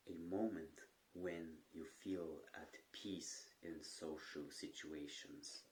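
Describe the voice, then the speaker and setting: A young man sharing advice in a calm, earnest manner, with occasional brief stutters. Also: low-pitched, poor audio. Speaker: stuttering coach. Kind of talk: talking-head advice vlog.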